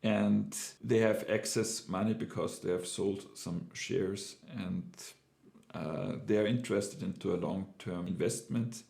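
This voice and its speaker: A man's voice: low-pitched, steady tone, measured pace, vocal fry, darkish tone. A middle-aged man with a German accent telling a personal business story in a casual, hesitant way, with many fillers and restarts. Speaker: entrepreneur sharing his experience.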